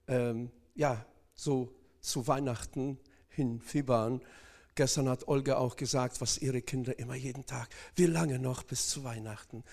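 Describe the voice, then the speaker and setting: A man's voice: mid-range voice, a little mellow. A man from Northern Kazakhstan preaching a sermon, speaking hesitantly with many fillers and addressing the congregation directly.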